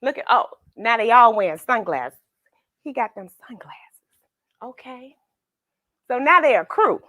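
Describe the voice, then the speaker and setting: A woman's voice: on the high side, sing-song, a little slow, fairly bright tone, dry recording, studio quality. A woman hosting a children's read-along, chatting informally in a playful, affectionate, encouraging way and repeating phrases for emphasis.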